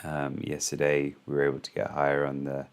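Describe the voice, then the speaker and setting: A hoarse man hosting a trading channel, walking through the morning's market in casual commentary, halting with frequent ums and restarts. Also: extremely low pitch, monotone.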